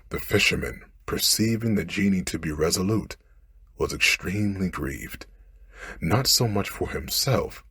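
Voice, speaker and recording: A man softly reading a bedtime story in an ASMR style, close to the microphone. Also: low-pitched, breathy edge, dry recording.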